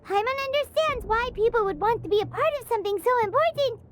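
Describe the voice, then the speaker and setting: A woman voicing a small, childlike fairy companion in a video game, excitable, earnest and loyal, with a squeaky voice. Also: fast, noisy background. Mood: worried. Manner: speaks of herself in the third person, exclamatory. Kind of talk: video game character acting. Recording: game music behind the voice.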